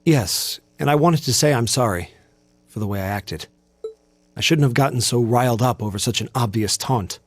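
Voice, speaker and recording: A man's voice: mid-range voice, moderate pace, no room sound, close to an everyday voice. An actor playing a proud, easily indignant young male student in a video game, speaking in an earnest, defensive and precise way. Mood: contrite, earnest.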